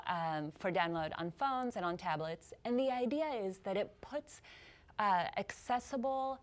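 Upbeat woman in her thirties, policy guest explaining clearly on a studio lapel mic. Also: mid-range voice. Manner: upbeat, explanatory, quick to agree. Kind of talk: studio interview. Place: TV news studio.

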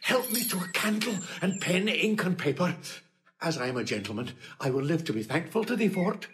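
An actor playing a wronged, pompous steward who pleads theatrically and indignantly in a classical stage comedy. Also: male voice, a little high, expressive, fairly quick, fairly bright tone. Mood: earnest begging.

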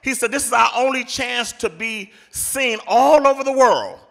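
A man's voice: extremely high pitch, moderate pace, vocal fry, fairly bright tone. Middle-aged man telling a story in an echoey church. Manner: retelling a story with many quoted lines, some stammering. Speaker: speaker at a church program. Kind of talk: storytelling speech. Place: large church sanctuary. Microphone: handheld microphone at a podium. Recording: echoey church hall with faint music.

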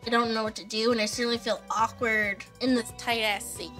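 A woman's voice: a little high, steady tone, brisk. A young woman talking candidly, as in a reality show confessional and on a date. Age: young adult. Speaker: dating reality show participant. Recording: music behind the voice. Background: background music.

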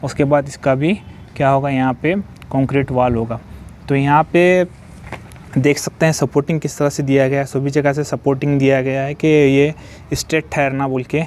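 A man's voice: medium pitch, flat delivery, moderate pace, darkish tone, a little hiss or hum. A man walking a building site, filming on a phone and explaining the work in a repetitive, explanatory way, dropping in English technical terms. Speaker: civil construction site vlogger. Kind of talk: walkthrough explaining a construction site. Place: outdoor construction site. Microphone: phone held while filming.

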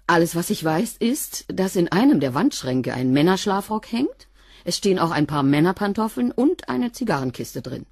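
A woman's voice: fairly low pitch, very animated, moderate pace, darkish tone, no room sound. An actress playing a blunt, dry cleaning woman who gives curt, matter-of-fact answers in a radio crime drama. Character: blunt, dry female cleaner being questioned.

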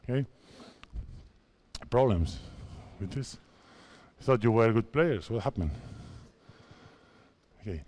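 A man's voice: fairly low pitch, lively intonation, slow pace, pauses often, a little mellow. Spanish man in his fifties coaching basketball. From Spain. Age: 50s. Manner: instructive, coaching players with short commands. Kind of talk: coaching clinic on court. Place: large indoor sports hall. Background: basketballs bouncing.

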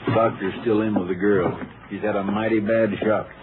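An actor playing a plain-spoken, helpful county sheriff with a folksy manner in a 1950s radio western. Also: male voice, on the low side, fairly even intonation, a little fast, close to an everyday voice. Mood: matter-of-fact. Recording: narrow, faintly noisy old radio recording.

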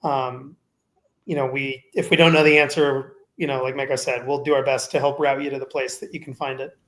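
A faculty member hosting a Q&A session on a video call, answering with a measured manner and many fillers. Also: male voice, medium pitch, little pitch movement, a bit bright, low-quality recording. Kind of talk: casual Q&A moderation.

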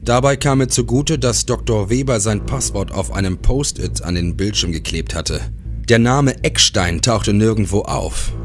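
A man's voice: fairly low pitch, normal speed, soft background music, close to an everyday voice. An actor playing a sober police detective who narrates his case in a clipped, matter-of-fact way for a German crime radio play. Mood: matter-of-fact, suspenseful.

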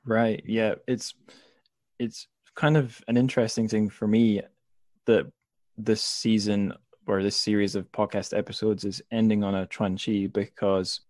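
A man's voice: lowish voice, little pitch movement, measured pace, darkish tone, no echo. A man hosting a conversational podcast interview, thoughtfully rephrasing his questions as he goes.

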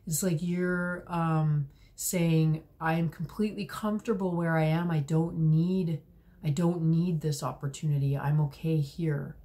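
A woman in her forties giving a tarot reading to camera at home, slightly hoarse from a cold, talking gently with many fillers and restarts. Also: deep voice, fairly even intonation, a little slow. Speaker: tarot reader. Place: small room at home, indoors.

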